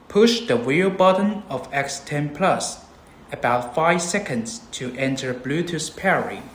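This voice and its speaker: A man presenting a scripted product tutorial, explaining things in a measured, step-by-step way. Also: medium pitch. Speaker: product demonstrator. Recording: roomy echo, background noise.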